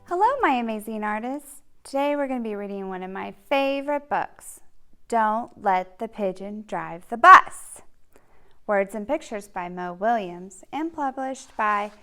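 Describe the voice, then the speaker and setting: A woman's voice: mid-range voice, lively intonation, unhurried, bright, forceful tone, clean tone. A woman art teacher addressing young children with simple step-by-step instructions and questions. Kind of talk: children's read-aloud and step-by-step drawing lesson.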